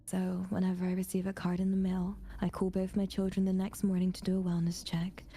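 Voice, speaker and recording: A woman's voice: fairly low pitch, monotone, brisk, voice pushed down, natural voice. A woman voicing an anxious eighty-two-year-old in a whispered, solemn scary-story confession for ASMR. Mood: uneasy, resigned. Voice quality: breathy, hushed whisper. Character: anxious 82-year-old grandparent haunted by mysterious sympathy cards.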